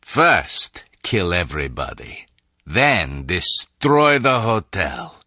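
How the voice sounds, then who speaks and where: A man's voice: low-pitched, wildly swooping pitch, slow, sharp tone, no room sound, clean studio sound, exaggerated voice. A man playing a ruthless, menacing villain crime boss in a video game, giving commands. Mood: cold, commanding.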